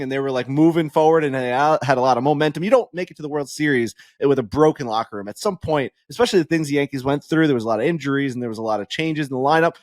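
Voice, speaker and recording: A man's voice: medium pitch, no echo, studio quality. A man co-hosting a podcast, ranting in casual sports talk and swearing freely. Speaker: podcast co-host.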